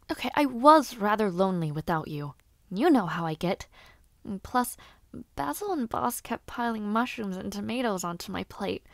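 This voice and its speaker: A young woman playing a lively noblewoman and aspiring knight in a fantasy audio roleplay, chatting to the listener in a teasing, expressive, conversational way. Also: mid-range voice, creaky, dry recording, clean studio sound, full character voice. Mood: pouty.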